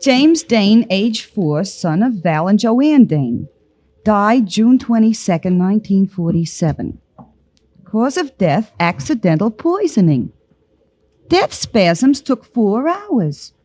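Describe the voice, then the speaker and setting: Lively, sassy office girl. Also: medium pitch, slow pace. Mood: matter-of-fact reading. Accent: American. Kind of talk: 1940s-50s radio drama acting. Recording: old radio drama recording.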